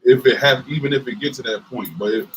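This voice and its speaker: Deep voiced southern guy cussing a lot on a couples livestream, faint noise behind. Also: fast, a bit bright, rough recording. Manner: profane and emphatic, many fillers. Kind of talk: casual livestream chat.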